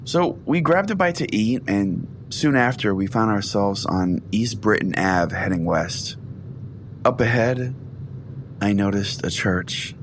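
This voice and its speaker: A man's voice: fairly low pitch, animated, measured pace, a little hiss or hum. A man hosting a comedy podcast, narrating a scripted first-person tale in a theatrical, earnest storytelling way. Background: music bed under the voice.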